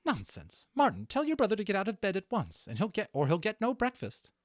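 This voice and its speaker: A man voicing a caring, brisk mother mouse in a storybook, cheerful and firm with the children. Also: high voice, huge pitch swings, no echo, studio quality. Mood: concerned, firm.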